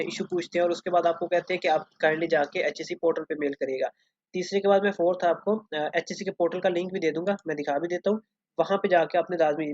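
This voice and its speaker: A man's voice: fairly high pitch, darkish tone, no echo. A man with a Pakistani accent giving an informal, earnest explainer to students, instructive and repetitive, mixing English phrases into his speech. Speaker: student-community video host. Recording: dull, lo-fi close dry voice recording.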